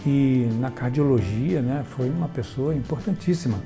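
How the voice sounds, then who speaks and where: A man hosting a tribute web series, speaking earnestly and haltingly with many restarts. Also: medium pitch, vocal fry, mellow, faint music behind. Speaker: host.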